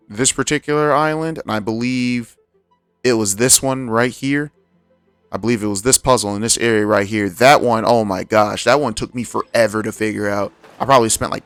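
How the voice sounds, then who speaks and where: Low-voiced man streaming a game, slangy. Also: gravelly, fairly bright tone, clean tone, no echo. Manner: informal, slangy, talking to himself and viewers. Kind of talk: casual gameplay commentary to viewers. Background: video game music.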